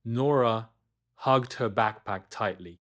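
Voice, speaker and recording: A man's voice: on the low side, slow pace, a bit bright. A man reading a scripted children's bedtime story as the storyteller.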